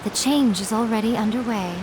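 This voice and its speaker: A woman's voice: fairly high pitch, brisk, very noisy, natural voice. A woman voicing a confident, composed, sly female schemer in a video game, self-assured and teasing.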